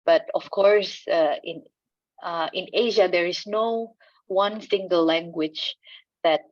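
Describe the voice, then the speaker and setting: Indonesian woman with a lowish voice, hesitant. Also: no room sound, dull audio. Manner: halting, many fillers and restarts, light laughs. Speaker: panelist. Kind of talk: conference panel answer.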